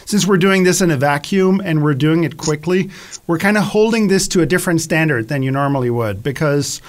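A man's voice: a little high, fairly quick. A man hosting a casual chat, rambling and restarting his sentences a lot, apparently over a video call. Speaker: host.

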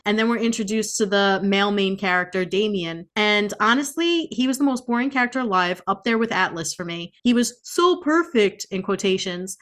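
A woman co-hosting a casual book podcast, talking bluntly and sarcastically with plenty of swearing. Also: mid-range voice. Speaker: podcast co-host. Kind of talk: casual chat, book review.

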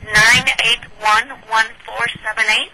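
A woman working as a hotel phone operator, patient and formal, speaking deliberately over a breaking-up telephone line. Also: on the high side, level tone, bright, forceful tone, slight background noise. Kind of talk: customer service phone call.

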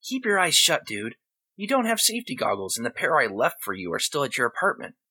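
A man's voice: on the high side, wildly swooping pitch, brisk, bright, forceful tone, husky, pitched above the performer's own voice, full character voice. A man voicing a bossy, casual young boy in a story reading, talking in slangy, matter-of-fact phrases. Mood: urgent, bossy.

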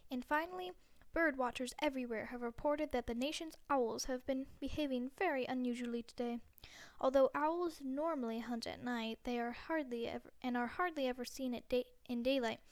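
A woman's voice: sits high, a little fast, no echo. Woman reading as a formal male newsreader. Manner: formal news delivery.

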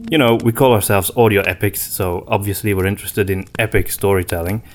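A man co-hosting a podcast in casual conversation, reflective and often pausing to search for his words. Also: on the low side, steady tone, over music. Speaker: podcast co-host.

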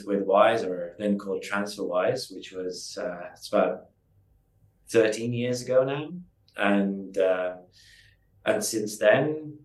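A man from Estonia, a company founder, answering interview questions in a halting way with many restarts. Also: on the low side, roomy sound, poor audio.

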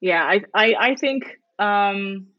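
A woman's voice: mid-range voice, takes it slowly, a bit bright, no echo, lo-fi sound. A woman whose first language is Dutch, a podcast guest chatting thoughtfully with frequent restarts and fillers. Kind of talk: casual interview chat.

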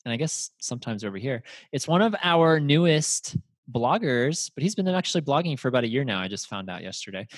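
A man's voice: medium pitch, very animated, brisk, husky, no echo. An upbeat man hosts a casual podcast in a friendly, chatty voice, laughing easily. His voice sits in a middle range. The recording is close and dry, with no room sound. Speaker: podcast host.

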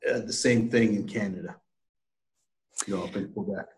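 A man's voice: lowish voice, normal speed, a little mellow. A man, a sales director, explaining plans in an interview, hesitant with many fillers. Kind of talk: conversational business interview.

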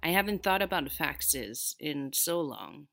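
A woman's voice: low voice, expressive, unhurried, creaky, a bit bright. A woman hosting a podcast, asking curious questions to draw out her guest's story. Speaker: podcast host. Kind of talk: interviewing a guest.

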